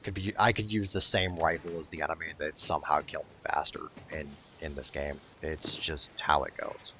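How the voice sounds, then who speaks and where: A man's voice: a bass voice, flat delivery, normal speed, sharp tone, slight background noise. A man giving casual let's-play commentary on a video game, with a dry, grumbling manner. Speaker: gaming commentator.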